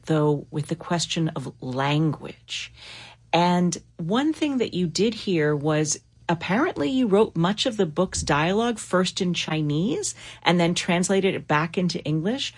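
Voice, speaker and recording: Woman interviewer, low husky voice, thoughtful and measured. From United States. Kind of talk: broadcast author interview.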